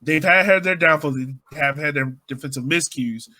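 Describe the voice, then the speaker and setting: A man's voice: a little high, normal speed, pushed and bright. A man co-hosting a podcast, chatting casually through soccer analysis. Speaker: podcast co-host.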